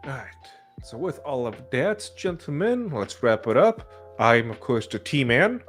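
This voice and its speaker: A man's voice: medium pitch, big pitch swings, a little slow, a bit bright, faint music behind. A man hosting a casual podcast, talking informally with his co-hosts. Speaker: podcast host.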